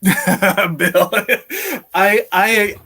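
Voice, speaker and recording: A man in his thirties with a fairly high-pitched voice hosts a casual live stream. He talks in a jovial, conversational way over a video call from a room at home. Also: fairly even intonation, very slow, sharp tone.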